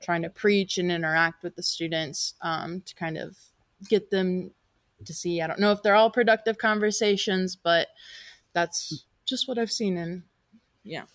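A man's voice: high-pitched, steady tone, measured pace, fairly bright tone, dry recording. A young man, a college freshman, speaking hesitantly with many "like" fillers. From North Carolina and West Virginia. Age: young adult.

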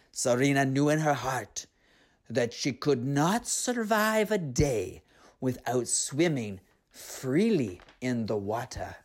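A storyteller narrating and reflecting on a tale in an earnest, unhurried, reflective way. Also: mid-range voice.

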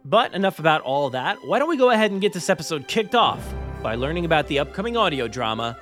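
A man's voice: a little high, sing-song, fast talker, a bit bright, faint music behind. A man hosting a podcast, chatting casually and informally in a rambling way. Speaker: podcast host. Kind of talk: casual chatty show intro.

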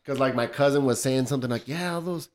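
A man's voice: medium pitch, fast. A man in his forties hosting a loose casual chat among friends, swearing and laughing often. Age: 40s.

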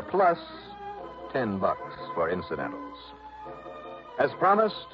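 An American man playing a brash, wisecracking insurance investigator in a mid-century radio detective drama. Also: lowish voice. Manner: sardonic, wisecracking, punchy. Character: wry, brash freelance male insurance investigator. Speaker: lead actor and narrator of radio detective drama. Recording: old radio broadcast, narrow muffled sound.

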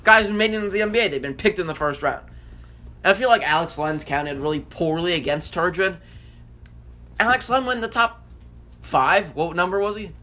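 Bright, higher-pitched American man debating sports. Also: a little fast. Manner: emphatic, argumentative, repeats phrases for emphasis. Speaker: sports podcast co-host.

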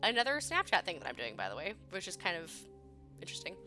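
A young woman with a bright, clear voice, a little sniffly from a cold, telling a story in a loose, chatty way full of ums. Faint music plays softly behind her. She sounds like a relaxed podcast co-host talking from home. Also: on the low side, fast talker. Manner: chatty, laughs often, many ums. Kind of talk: casual true crime podcast chat. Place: at home, remote call.

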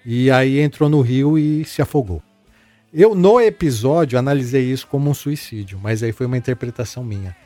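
A man hosting a casual podcast. He chats in a relaxed way and reads listener messages aloud, with many fillers and repeated words. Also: mid-range voice, dry recording. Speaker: podcast host.

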